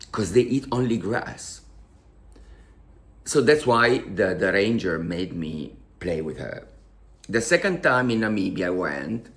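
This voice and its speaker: A man with an Italian accent telling a personal story to his viewers in halting, emotional English, repeating words as he searches for them. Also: deep voice. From Italy. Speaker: vlogger. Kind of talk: casual storytelling to camera.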